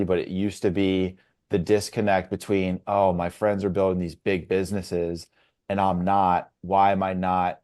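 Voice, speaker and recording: A man's voice: low-pitched, a little mellow. A man in his forties with an American accent, speaking in an earnest, reflective way in a casual roundtable. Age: 40s. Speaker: podcast panelist.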